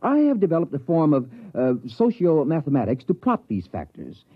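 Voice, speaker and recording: An actor playing a stuffy, earnest American sociology professor, pedantic and formal, in an old-time radio drama. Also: male voice, fairly high pitch, huge pitch swings, moderate pace, full character voice. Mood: earnest lecturing. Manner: pedantic, formal, hesitant lecturing. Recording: muffled old radio recording.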